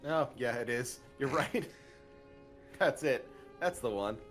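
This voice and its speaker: A man's voice: mid-range voice, animated, slow, faint music behind. A man co-hosting a let's play in casual, chatty commentary. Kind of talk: casual gaming chat.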